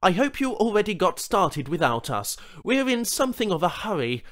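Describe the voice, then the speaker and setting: A man's voice: sits high, very animated, moderate pace, fairly bright tone, pitched above the performer's own voice. A man voicing an impatient, brusque corporate executive in an audiobook story. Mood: hurried. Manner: brusque, absent, imperious.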